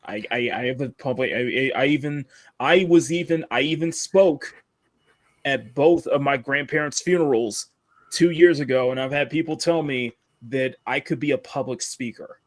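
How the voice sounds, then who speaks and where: A deep-voiced man with a Southern accent chats casually as a live-show host. He rambles, restarts his sentences and laughs often, in a dry, close recording. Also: normal speed, no echo. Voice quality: deep, radio-style tone. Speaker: livestream host.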